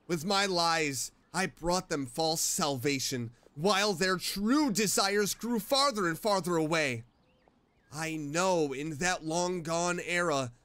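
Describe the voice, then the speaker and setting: A man's voice: on the high side, sharp tone, dry recording. A man reading the confession letter of a penitent male swindler from a video game, formal, earnest and solemn. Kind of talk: dramatic reading of game text. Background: faint game music.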